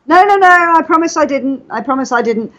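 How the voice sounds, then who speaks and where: A woman's voice: high-pitched, animated, fairly quick, crowd noise. A woman chatting casually on a live stream, telling stories and explaining things in a chatty way. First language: English. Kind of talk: casual live chat, storytelling. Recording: dull lo-fi internet chat audio.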